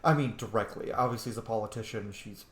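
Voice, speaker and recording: A man's voice: lowish voice, quick pace, fairly bright tone. A man hosting a gameplay video with casual, informal, chatty commentary. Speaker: gaming video host. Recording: roomy, echoey voice track.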